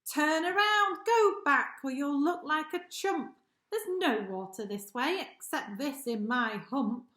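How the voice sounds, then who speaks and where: Woman putting on a loud, scornful storybook camel. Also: high voice, animated, takes it slowly, roomy sound, voice pushed up, full character voice. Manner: exclaiming, emphatic. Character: scornful, blunt camel mocking a traveller.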